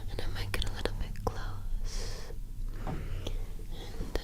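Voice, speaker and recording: A woman speaks in a soft, breathy whisper, as in an ASMR roleplay. Her manner is gentle and reassuring, with casual, slightly hesitant phrasing as she guides the listener along. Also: slow. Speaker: ASMR performer playing a witch doing an energy cleanse.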